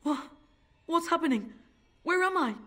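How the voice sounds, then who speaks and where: An actor voicing a frightened, confused little boy in a fantasy game, halting and bewildered. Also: extremely high pitch, lively intonation, fairly bright tone. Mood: dazed fear. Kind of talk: fantasy video game voice acting.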